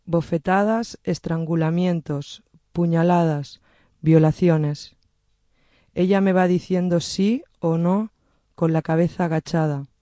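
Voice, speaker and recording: A speaker reciting a poem in a measured, soft-spoken way. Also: medium pitch, dry recording, studio quality. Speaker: poetry reader. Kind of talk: slow poetry recitation.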